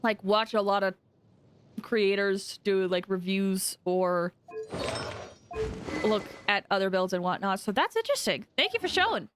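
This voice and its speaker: A woman chatting casually on a game stream, giving animated reactions to what she sees. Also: medium pitch, unhurried, pauses often. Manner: chatty, animated reactions, breaks into singing and laughter. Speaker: livestreamer. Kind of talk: casual live gaming commentary with chat. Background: game music.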